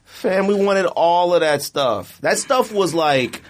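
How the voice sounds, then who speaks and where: Animated, higher-pitched man bantering on a podcast. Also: takes it slowly. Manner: slangy, animated, argumentative. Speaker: podcast co-host. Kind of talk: casual sneaker podcast banter.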